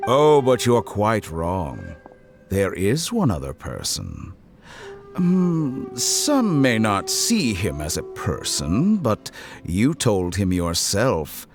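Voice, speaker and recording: An actor playing a cold, scheming male scientist villain who speaks in a smooth, coolly mocking way. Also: on the low side, wildly swooping pitch, slow, over music, exaggerated voice. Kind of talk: game cutscene voice acting.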